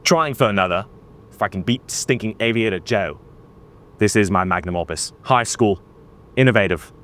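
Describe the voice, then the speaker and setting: A man's voice: fairly low pitch, normal speed, a bit bright, close to an everyday voice. A man playing a self-important nature documentary presenter, in a mock documentary style. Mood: boastful. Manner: pompous, theatrical. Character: pompous, self-important male nature documentary host, affected accent.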